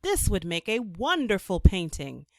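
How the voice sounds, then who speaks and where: A kindly young artist in a children's storybook , read by a woman. He muses aloud, thoughtful and easily inspired. His pitch rises and falls expressively in a dry, close recording. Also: very animated, measured pace, no room sound.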